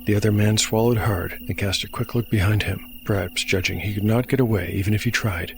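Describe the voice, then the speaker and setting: A man with a fairly low voice narrates a fantasy role-playing tale as its game master. His delivery is measured and scripted. Music plays clearly underneath. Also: fairly even intonation, low-quality recording.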